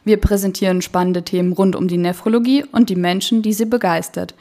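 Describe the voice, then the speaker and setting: A woman's voice: medium pitch, fairly even intonation, fairly quick, mellow. Woman, podcast host, medical topic, welcoming intro, hesitant questions. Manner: friendly, clear, with hesitant questions.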